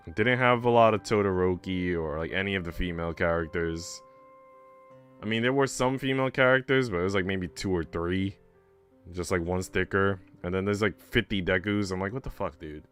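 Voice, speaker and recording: A man's voice: deep voice, a little fast, fairly bright tone, soft background music. A man streaming a game, chatting casually in a rambling, irreverent, sweary way. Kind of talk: casual livestream chat and commentary.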